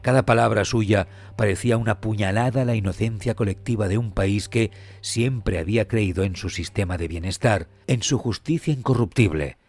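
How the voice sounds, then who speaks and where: A man. His scripted narration is solemn, measured and suspenseful, with light music beneath it. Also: fairly low pitch, a little mellow. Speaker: true crime podcast host and narrator.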